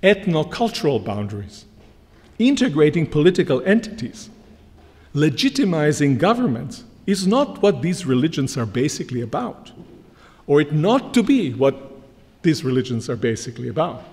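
A middle-aged man giving an academic lecture from a lectern, measured and emphatic. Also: on the high side, sing-song, a little mellow. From Croatia. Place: large lecture hall, standing at a lectern. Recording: long hall reverb from lectern mic.

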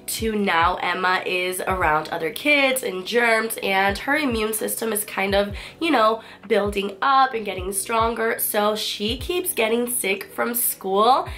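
A woman's voice: mid-range voice, a bit bright. A young woman chatting through a casual haul vlog indoors, her voice still recovering, bubbly and repeating words for emphasis. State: recovering from lost voice. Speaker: fashion haul vlogger. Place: bedroom with holiday tree and boxes, indoors.

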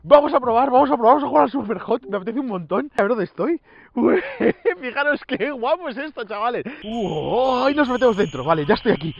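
Excitable high-voiced Spanish man gaming. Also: a little fast, gravelly, lo-fi sound. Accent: Spain Spanish. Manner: excitable, exclaims and laughs, addresses viewers as chavales. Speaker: VR gaming youtuber. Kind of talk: excited gameplay commentary. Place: outdoors on an empty road at dusk. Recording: music under voice. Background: background music.

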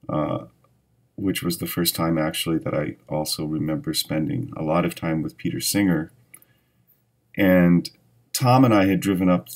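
An American man in his sixties, a professor, reminiscing to camera in a measured, deliberate way with emphatic phrase openings. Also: deep voice, a little mellow. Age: 60s. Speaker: philosophy professor. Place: dim indoor hall with empty seats.

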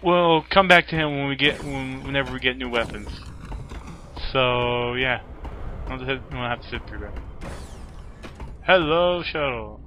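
A man's voice: mid-range voice, moderate pace, long pauses, bright, forceful tone, slight background noise. A man giving casual let's play commentary as host, with offhand asides to the game. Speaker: gaming video host.

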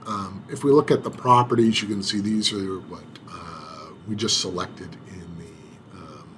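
A man walking through a software setup on screen in an unhurried tutorial, pausing often with fillers and restarting his phrases. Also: mid-range voice, slight background noise. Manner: unhurried, hesitant, many filler sounds and restarts. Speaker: IT administrator demonstrating software setup. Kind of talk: screen-recorded tutorial walkthrough.

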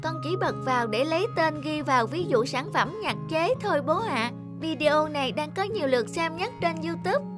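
A very high-pitched cartoon schoolboy. He sounds childlike, innocent and earnest, a polite and obedient son, with music clearly behind him. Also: expressive, moderate pace, few pauses. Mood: earnest explaining. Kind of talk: cartoon dubbing.

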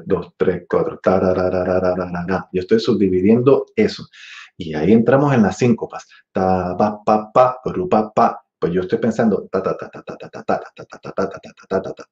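Puerto Rican trumpet teacher, 40s, low-voiced, chatty; sings rhythms; muffled webcam audio. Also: male voice, mellow. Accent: Puerto Rican Spanish. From Puerto Rico. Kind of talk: online masterclass. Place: room with green wall. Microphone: webcam at desk.

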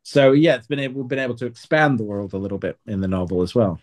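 A man's voice: medium pitch, little pitch movement, fast talker, darkish tone. A man speaking as a writer being interviewed, explaining things in a reflective, conversational way. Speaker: podcast guest, writer.

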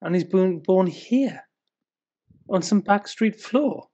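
A man's voice: sits high, takes it slowly, mellow, highs cut off. Grumpy, sceptical British husband acted by a man. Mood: incredulous, scornful. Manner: grumbling, sarcastic, exasperated. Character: grumpy, sceptical, sleep-deprived husband in Bethlehem. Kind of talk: dramatic spoken-word verse reading.

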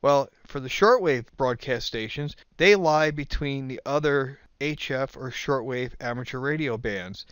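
A man's voice: mid-range voice, a little slow, hardly any pauses, a bit bright, clear, resonant tone, dry recording. A man narrating an instructional video in a plain-spoken, methodical, informal way. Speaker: host of an instructional video channel. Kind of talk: explanatory slide narration, informal.

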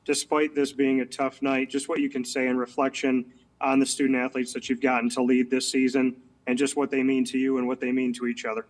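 A man's voice: mid-range voice, monotone, fairly quick, rough recording. A man, a sports reporter, putting a careful question over a video call. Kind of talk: prepared press question.

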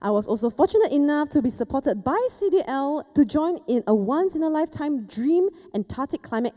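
Young woman, formal stage speech, mellow tone, long hall reverb, light music under. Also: fairly high pitch. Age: young adult. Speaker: youth speaker at an event. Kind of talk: scripted speech read from notes. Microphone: handheld mic.

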